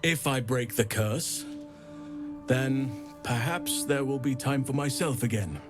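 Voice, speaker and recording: A male actor playing a solemn, centuries-old companion in a fantasy video game, speaking in a measured, earnest, formal way. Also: mid-range voice, music in the background, lightly acted. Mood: earnest, resolved.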